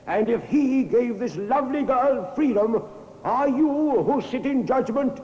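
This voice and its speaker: An actor playing a formal, probing defending counsel who speaks deliberately and rhetorically in old-time radio courtroom style. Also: male voice, extremely high pitch, lively intonation, dark tone. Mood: pleading, solemn. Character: eloquent, probing male defending counsel pleading to a jury. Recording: dull, echoey old radio recording, long reverb.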